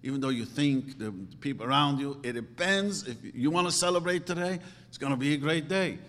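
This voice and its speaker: Man preaching, very lively pitch, emphatic, long hall reverb. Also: brisk. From grew up speaking Yiddish and Hungarian. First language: Yiddish. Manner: emphatic, swings from low asides to loud outbursts. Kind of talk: sermon.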